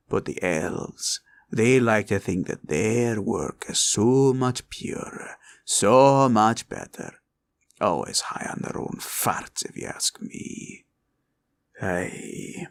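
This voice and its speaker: A menacing green dragon speaks in a low, gravelly growl, drawling very slowly. The delivery swoops between breathy rasps and rumbles, sly and greedy, in an intimate fantasy roleplay style. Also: male voice, heavily acted. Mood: smug, gloating. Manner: slow, drawling, taunting, archaic turns of phrase.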